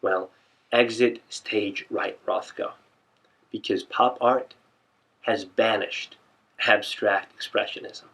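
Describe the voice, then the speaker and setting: A man performing an audition monologue as a defiant young painter, speaking in an emphatic, deliberate, scornful way. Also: fairly low pitch, steady tone, gravelly, sharp tone, exaggerated voice, poor audio. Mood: scornful, challenging.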